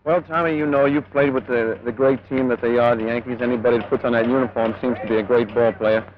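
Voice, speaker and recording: A man's voice: mid-range voice, fairly even intonation, fast talker. A man, a bat boy answering questions in an informal interview. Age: 20s. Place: outdoors at an empty ballpark dugout. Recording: dull 1950s newsreel sound, faint noise.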